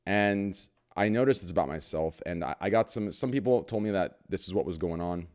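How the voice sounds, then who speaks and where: Deep-voiced guy hosting a podcast, gets heated and loud, swears a ton. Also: brisk. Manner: excitable, heated, swears a lot. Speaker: podcast host. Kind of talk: casual podcast chat.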